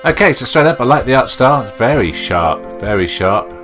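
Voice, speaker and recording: A man's voice: mid-range voice, big pitch swings, moderate pace. A man giving chatty let's play commentary on visual novels, reading aloud and reacting as he goes. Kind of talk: casual gaming commentary mixed with read-aloud dialogue. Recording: voice over loud game music. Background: game music.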